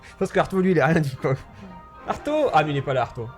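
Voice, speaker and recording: A man narrates a tabletop session as game master, stopping and restarting his sentences often. French is not his native tongue, and his voice sits a little high. Quiet music runs behind him. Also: very animated, quick pace. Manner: hesitant, many restarts and fillers.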